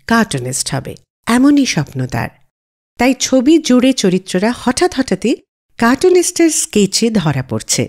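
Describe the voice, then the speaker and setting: A woman's voice: a little high, a little mellow. A woman with an Indian Bengali accent presenting a scripted film music radio show as its host.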